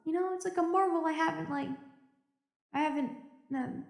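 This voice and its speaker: Audio drama: actress as isolated female deep-sea station survivor, high register, anxious and jaded, halting confessional monologue, echoey room. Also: gravelly. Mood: hesitant, uncertain. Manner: rambling, halting, stammering, self-interrupting.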